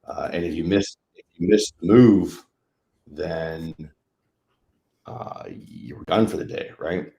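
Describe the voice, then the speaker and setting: A man's voice: sits low, takes it slowly, gravelly, long pauses, warm tone, reverberant. A man hosting a casual trading livestream, chatting loosely over charts with plenty of fillers. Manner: laid-back, chatty, many fillers.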